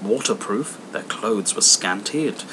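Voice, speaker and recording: Low-voiced man narrating over rumbling noise. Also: expressive, measured pace. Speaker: audiobook reader. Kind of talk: scripted literary narration.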